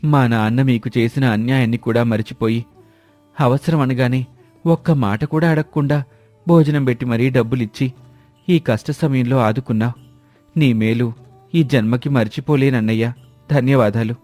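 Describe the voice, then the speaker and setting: A man voicing a destitute, humble village cousin who pleads gratefully in an animated moral story. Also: mid-range voice, normal speed, pauses often, no echo, faint music behind, close to an everyday voice. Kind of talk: dramatised moral story dialogue.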